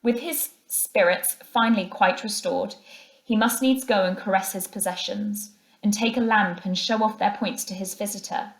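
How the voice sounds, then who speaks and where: A woman reads a children's book aloud in the manner of a schoolteacher. Her medium-pitched voice carries expressive storybook narration. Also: moderate pace, reverberant, poor audio. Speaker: teacher reading to a class.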